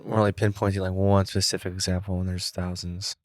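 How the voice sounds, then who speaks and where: A man co-hosting a podcast, talking casually and a little haltingly as he works out his thoughts. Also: low-pitched, flat delivery, dry recording, studio quality. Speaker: podcast co-host. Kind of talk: casual conversational podcast chat.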